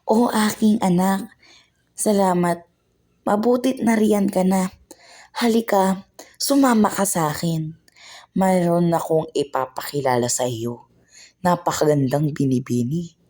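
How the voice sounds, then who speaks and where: A woman voicing a warm mother who speaks eagerly and welcomingly to her son. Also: fairly low pitch, measured pace, pauses often.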